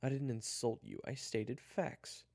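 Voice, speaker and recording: A man voicing a smug, taunting schoolboy rival with a falsely polite, smooth-talking manner in a narrated story. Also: mid-range voice, steady tone, measured pace, a little mellow, no room sound, studio quality, pitched above the performer's own voice, close to an everyday voice. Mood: smug, mocking.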